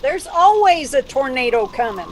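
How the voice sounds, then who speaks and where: A woman's voice: high voice, very animated, normal speed, a little hiss or hum. An actress playing a confused, forgetful mother in an audio drama, fretful and scolding as she complains. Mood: dismissive.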